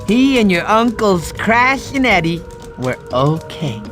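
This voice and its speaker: Goofy lisping cartoon sloth, swooping pitch. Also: male voice, takes it slowly, over music, exaggerated voice. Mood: cheerful, narrating. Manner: bumbling, rambling, excitable. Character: goofy, good-natured male cartoon sloth telling bedtime stories. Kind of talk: animated game cutscene storytelling.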